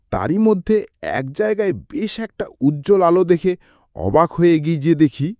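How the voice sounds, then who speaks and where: A man giving an expressive scripted narration of a story as an audiobook reader. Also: mid-range voice, no room sound.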